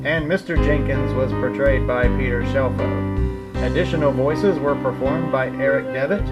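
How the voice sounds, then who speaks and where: A man's voice: mid-range voice, fairly even intonation, moderate pace, muffled recording. A man announcing scripted credits in the style of a radio drama. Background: loud guitar music.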